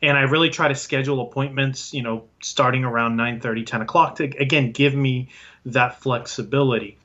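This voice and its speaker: Bright-voiced man, halting and hesitant. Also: mid-range voice, steady tone. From Puerto Rico. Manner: hesitant, many fillers and restarts. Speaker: guest, IT business owner. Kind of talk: casual interview answers. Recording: dull lo-fi sound.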